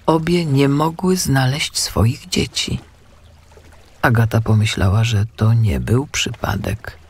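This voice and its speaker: A man narrating a scripted children's audiobook with expressive storytelling. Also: mid-range voice, slightly rough.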